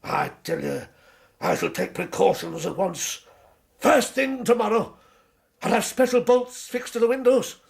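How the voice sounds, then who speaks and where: Gravel-creaky, high-pitched, agitated man. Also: a little slow, full character voice. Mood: agitated, horrified. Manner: excitable, emphatic, repetitive. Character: agitated, fearful householder, boastfully cunning, guarding his money. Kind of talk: radio drama acting.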